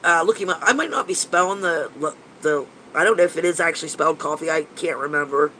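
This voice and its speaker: A man's voice: fairly high pitch, quick pace, pushed and bright, slight background noise, rough recording. A man in his fifties with a stuffy, nasal voice, rambling and gushing in a casual live chat with viewers as a paranormal investigator, swearing now and then. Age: 50s.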